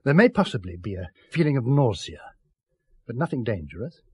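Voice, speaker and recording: An assured, secretive British scientist in a seventies radio play. His voice is slightly husky and very animated, with articulate, earnest, insistent delivery. The recording is dry and close. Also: mid-range voice, brisk, no echo. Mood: instructive, insistent. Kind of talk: 1970s British radio drama acting.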